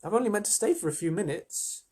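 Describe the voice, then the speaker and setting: A storyteller voicing a kind, earnest young girl who talks in a high, urgent, pleading way. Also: lively intonation, fast, mellow, breathy edge. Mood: apologetic.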